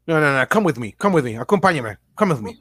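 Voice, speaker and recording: A man's voice: a little high, big pitch swings, fairly quick, fairly bright tone, breathy edge, exaggerated voice. An actor in a comic radio drama playing a sardonic, officious New York policeman, mixing languages. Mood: stern, sardonic. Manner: mixes English into Spanish, sardonic.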